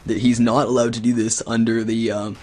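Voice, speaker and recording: A man's voice: medium pitch, flat delivery, a little fast, darkish tone, lightly acted. A man playing a stern, officious website manager on a prank phone call, speaking in hedging official phrases. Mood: solemn, official. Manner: mock-formal, hedging with official-sounding phrases. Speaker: prank caller.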